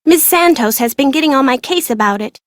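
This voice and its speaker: A high-voiced, withdrawn young woman. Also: lively intonation, brisk, no room sound, clean studio sound. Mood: defensive. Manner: plain-spoken, self-deprecating, guarded. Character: withdrawn, glum young woman haunted by childhood loss. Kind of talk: video game voice acting.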